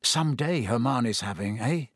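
Laid-back joking schoolboy, offhand and wry, close dry voice from the narrator. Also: male voice, mid-range voice, expressive, a little slow, dry recording.

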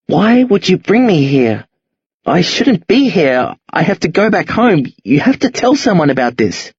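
A man voicing a frightened, anxious teenage boy in a husky, shaky voice. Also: high-pitched, sing-song, unhurried, no echo, dull audio, voice pushed up, exaggerated voice. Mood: panicked.

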